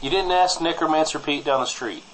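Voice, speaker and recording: A man playing a wry small-town nephew in improvised comedy banter, speaking hesitantly with dry, deadpan wit. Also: a little high, fairly quick, slight background noise, close to an everyday voice, rough recording. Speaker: podcast co-host playing a character.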